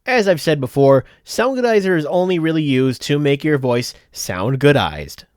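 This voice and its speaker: A man narrating a software tutorial step by step in a methodical, explanatory way. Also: on the high side, sing-song, moderate pace, no room sound, clean studio sound. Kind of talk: step-by-step instructional voice-over.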